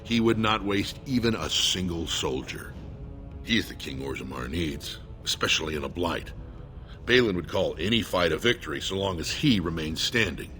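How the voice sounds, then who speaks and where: A loyal dwarf soldier from a fantasy video game, speaking very low. He is formal, earnest and measured, with faint music underneath. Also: expressive, pushed and bright. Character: loyal dwarven soldier, solemn supporter of his lord. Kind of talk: scripted video game dialogue.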